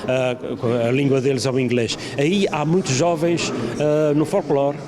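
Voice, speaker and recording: A man answers an interviewer in a medium-pitched voice. His delivery is measured and formal, often pausing to find the next phrase. Crowd noise is clearly heard behind him. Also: steady tone. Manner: measured, hesitant, formal wording. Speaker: newspaper representative being interviewed. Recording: crowded urban setting, background chatter.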